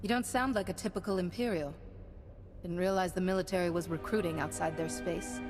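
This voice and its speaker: A woman playing a calm, resolute Jedi heroine in a video game, speaking with composed firmness. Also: lowish voice, a little fast, close to an everyday voice. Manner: composed, firm. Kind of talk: video game cutscene acting. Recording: music playing behind.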